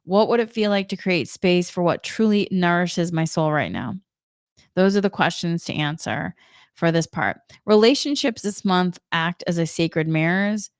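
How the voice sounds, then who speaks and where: A middle-aged woman with a Boston accent gives gentle, reflective spiritual guidance, often repeating her questions. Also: lowish voice, animated, moderate pace, dry recording, clean studio sound. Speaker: spiritual energy guide, Reiki practitioner.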